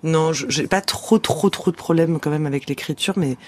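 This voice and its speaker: A woman's voice: sits low, normal speed, darkish tone. A young actress talking thoughtfully in a radio studio interview, searching for her words as she goes.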